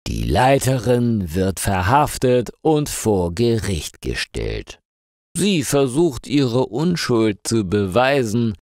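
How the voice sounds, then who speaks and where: A man reading a crime story aloud in slow, deliberate scripted narration for language learners. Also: fairly low pitch, no room sound, clean studio sound. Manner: clear, deliberate reading with lively intonation.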